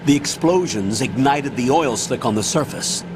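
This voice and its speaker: A man plays a stern military colonel in a video game, giving clipped, authoritative orders. Steady noise hums beneath his lines. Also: mid-range voice, normal speed, natural voice. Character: commanding older male colonel on the radio. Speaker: mission commander. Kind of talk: video game cutscene voice acting.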